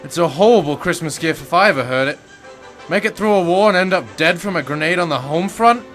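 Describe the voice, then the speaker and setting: A man playing an excitable, blunt police officer, the detective's pal, in an old-time radio drama. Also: fairly high pitch, a little fast, faint music behind. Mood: wry.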